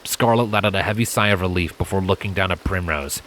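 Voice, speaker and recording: A man's voice: low-pitched, fast, a bit bright, a little hiss or hum. A man reading fan fiction as a dramatic, scripted storybook narration. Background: music and rain effects.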